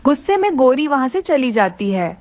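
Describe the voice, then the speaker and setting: A woman's voice: sits high, very animated, normal speed, darkish tone, dry recording, studio quality. A woman narrating a children's story from a script as the storyteller.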